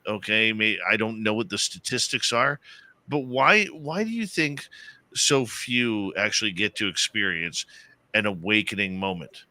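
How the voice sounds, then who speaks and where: A man's voice: fairly low pitch, a little slow, no echo. A man hosting a late-night talk radio show, upbeat and chatty with his guest. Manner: upbeat, excitable sign-offs.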